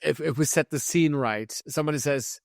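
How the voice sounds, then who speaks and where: A man in his fifties, born and raised in Turkey, a head concierge who is a guest on a hospitality podcast and tells his story in a lively way, acting out the dialogue he quotes. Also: a little high, brisk, gravelly, no echo, clean studio sound.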